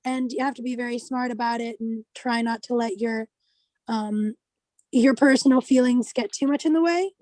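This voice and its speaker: A woman's voice: on the high side, steady tone, moderate pace, darkish tone, no room sound, rough recording. A woman leading a casual group discussion, thinking aloud in a tentative way. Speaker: study group discussion leader.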